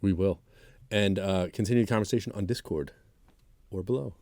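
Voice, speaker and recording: Low, very mellow, laconic American man. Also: brisk, no room sound. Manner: laconic, low-key, repeats short agreements. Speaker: podcast co-host. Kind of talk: casual chat.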